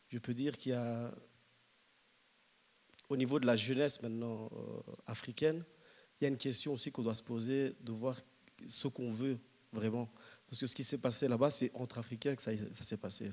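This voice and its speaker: A man's voice: medium pitch, fairly even intonation, moderate pace. Hesitant man from Rwanda at a debate. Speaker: audience member asking a question. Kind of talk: public question-and-comment at a debate.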